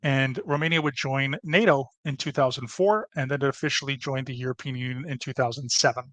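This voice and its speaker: Guy doing a relaxed history slideshow talk, lots of uh and um, dry close mic. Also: medium pitch, brisk, no room sound. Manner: rambling, many fillers and restarts. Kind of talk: casual podcast presentation.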